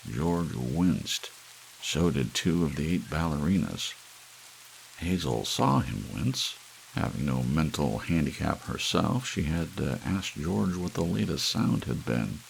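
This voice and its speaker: A man with a rock-bottom, creaky voice reads a story in ASMR audiobook style. His pitch moves around expressively as he narrates. Soft rain hisses faintly in the background. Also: big pitch swings, slow pace, poor audio. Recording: faint rain hiss throughout.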